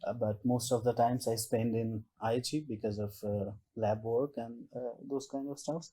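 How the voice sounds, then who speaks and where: A young man from India, giving a formal, measured self-introduction. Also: medium pitch, steady tone, dark tone. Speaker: PhD student, alumnus.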